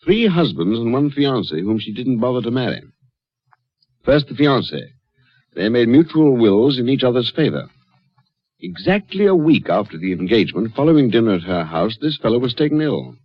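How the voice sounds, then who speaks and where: Husky, insistent police inspector, swooping delivery. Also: male voice, mid-range voice, big pitch swings, no echo. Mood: grave, insistent. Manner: theatrical, interrogating, with pointed pauses. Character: shrewd, insistent male police inspector, gravely suspicious. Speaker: actor in a radio drama. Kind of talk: radio mystery drama acting. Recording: dull lo-fi radio drama recording.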